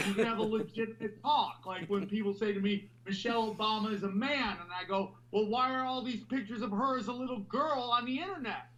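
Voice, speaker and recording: A man hosting a podcast, talking loudly and animatedly in a rambling, casual way. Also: high voice, highs cut off. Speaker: podcast host.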